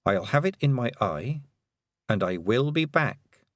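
A man voicing a calm young witch girl who speaks with quiet, steady menace. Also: mid-range voice, a little slow, no room sound, clean studio sound.

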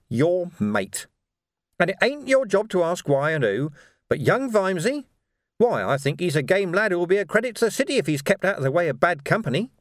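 A man acts out a villainous captain of a watch gang in an audiobook, sneering and bullying with false matiness. The voice is raised in pitch and swings about with lively menace. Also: no room sound, clean studio sound.

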